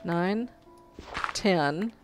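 Woman with a cold, slow and low. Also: music in the background. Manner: reads quest text aloud, swears and chuckles at mishaps. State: has a cold, sucking a cough drop. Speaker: let's play gamer. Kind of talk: casual gameplay commentary.

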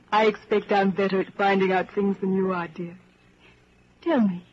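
An actress in a 1940s radio drama playing a bold, rebellious woman in her twenties, brisk, blunt and secretly amorous. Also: medium pitch, steady tone, husky, lightly acted. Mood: confident, teasing. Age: 20s. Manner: brisk, blunt, matter-of-fact. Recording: muffled, noisy 1940s radio broadcast recording.

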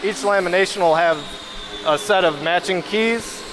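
A man's voice: high-pitched, moderate pace. A man explaining a step-by-step process in a weld shop, his plain explanation recorded amid shop noise. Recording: noisy shop floor.

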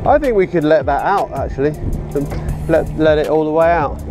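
A woman chatting calmly with her family and giving sailing calls on a yacht at sea, recorded on an action camera. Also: low voice, moderate pace, over loud music. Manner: calm, gently coaxing, gives sailing calls. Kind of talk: casual family chat on a sailboat. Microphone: action camera on the boat.

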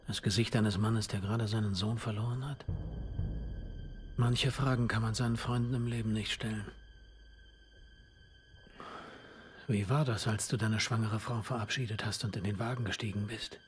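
An actor playing a weary, earnest army squad leader in a dubbed war game, shifting between command and brooding monologue. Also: male voice, lowish voice, steady tone, fairly quick, faint music behind, lightly acted. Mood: hushed, brooding. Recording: faint background noise.

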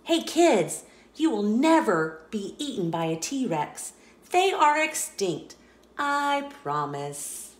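A woman reading a children's picture book aloud in a playful, expressive storytelling voice, indoors. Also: fairly high pitch, takes it slowly. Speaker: storybook reader. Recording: roomy echo.

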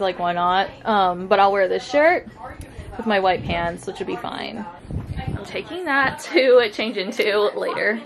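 A young woman in her twenties, chatting upbeat to a handheld camera as a vlogger, with lots of likes and ums. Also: mid-range voice. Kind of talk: casual vlog chat to camera. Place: hotel room, cafe and thrift store. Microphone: handheld camera mic.

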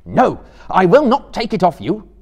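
A man playing a stern, fair-minded hobbit leader who speaks with deliberate command in a dramatic fantasy reading. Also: medium pitch, very animated, darkish tone, pitched above the performer's own voice. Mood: firm.

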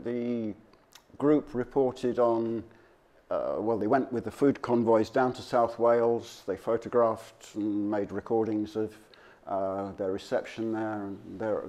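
Man giving a halting, informal slide talk. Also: on the low side, darkish tone. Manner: halting, conversational, off-the-cuff. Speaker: local history speaker.

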